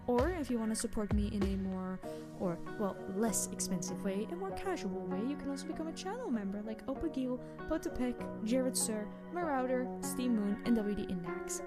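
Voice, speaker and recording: A woman's voice: fairly high pitch, expressive, normal speed, loud music behind the voice. A woman hosting her own channel, talking casually to her viewers in an outro. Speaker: voice actor and channel host.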